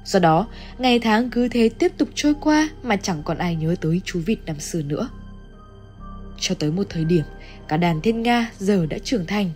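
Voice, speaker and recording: A woman's voice: mid-range voice, lively intonation, moderate pace, a little mellow. A woman reads a scripted story recap as narrator. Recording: music under the voice. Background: background music.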